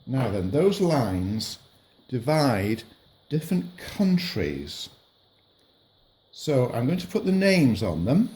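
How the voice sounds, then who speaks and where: A man's voice: mid-range voice, big pitch swings, slow pace, long pauses. A middle-aged man, patiently explaining a lesson to young children while he marks up a map. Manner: patient, gentle, narrates while drawing on a map.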